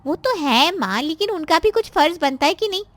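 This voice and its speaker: A woman's voice: very high voice, animated, normal speed, fairly bright tone. A woman playing a scheming, spiteful married daughter in a cartoon moral story, with a nagging, insinuating and complaining manner. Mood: complaining, indignant.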